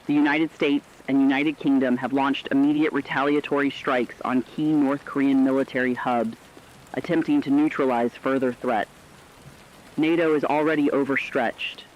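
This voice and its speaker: Guy playing a somber war radio correspondent, mid-pitched, formal and dramatic, dull lo-fi broadcast. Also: lightly acted. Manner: solemn, formal, dramatic delivery. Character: somber male radio correspondent reporting global catastrophe. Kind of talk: scripted emergency news broadcast. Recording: dull lo-fi radio sound with rain.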